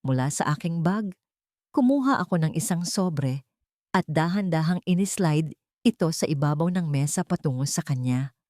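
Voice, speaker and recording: A woman's voice: deep voice, animated, normal speed, a little mellow, no echo, clean studio sound. A woman reading a scripted first-person dramatic story as an audiobook narrator.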